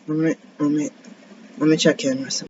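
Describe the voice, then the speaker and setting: A woman with a raspy, deep voice rambles through a casual vlog, drifting from one tangent to the next as she vents. The recording is dull and lo-fi, with a faint hiss underneath. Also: darkish tone. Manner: rambling, tangent-prone, venting. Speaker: vlogger. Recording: dull lo-fi sound with faint noise.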